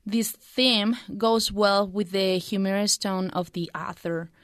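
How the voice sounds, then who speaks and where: A woman's voice: mid-range voice, steady tone, slow, no room sound, studio quality. A woman in her twenties, a native Spanish speaker and student, giving a prepared book review. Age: 20s.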